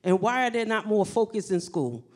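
A woman's voice: medium pitch, lively intonation, moderate pace, a little mellow. A middle-aged woman pastor answering at a candidate forum, emphatic and repetitive, on a handheld mic in a library meeting room. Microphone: handheld microphone.

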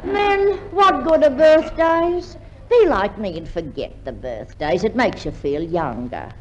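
An actress playing a cheeky, breezy younger sister in a 1950s Australian radio comedy, exclamatory and quick with comebacks. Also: female voice, on the high side, animated, muffled recording. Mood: breezy, teasing. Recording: noisy 1950s radio recording.

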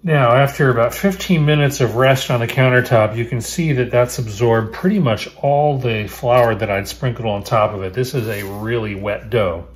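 A man in his fifties hosting a home cooking show, explaining each step in a relaxed way as he works in his kitchen. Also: mid-range voice, normal speed, few pauses. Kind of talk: casual cooking demonstration.

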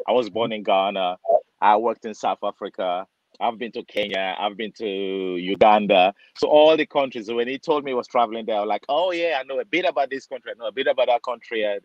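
A man's voice: medium pitch, no room sound. A man from Nigeria who works as a barber, telling a story on a video call, chatty and acting out the voices of people in it. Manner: chatty, acts out dialogue, many fillers. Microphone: webcam on a video call. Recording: dull lo-fi video call audio.

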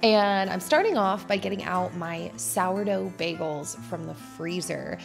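Chatty woman with a gravelly fry. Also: on the low side, unhurried, music in the background. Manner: chatty, laid-back, with casual fillers. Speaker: vlogger. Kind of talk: cooking vlog voice-over. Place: home, indoors.

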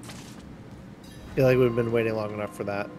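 A man chatting casually while playing a co-op video game, halting as he thinks aloud. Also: on the low side, steady tone, warm tone, a little hiss or hum. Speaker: gamer playing co-op. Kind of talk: casual gaming chat.

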